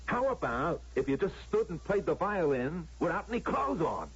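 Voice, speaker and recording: An actor playing a sleazy, leering talent booker in an old-time radio drama, slangy and brash. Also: male voice, a little high, sing-song, normal speed. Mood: leering, dismissive. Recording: noisy muffled old radio recording.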